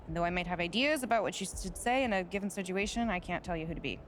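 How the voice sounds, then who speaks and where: A woman playing a brisk no-nonsense publicist. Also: lowish voice, a little hiss or hum, lightly acted. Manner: brisk, businesslike, commanding. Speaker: publicist for a rookie basketball player. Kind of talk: video game cutscene acting.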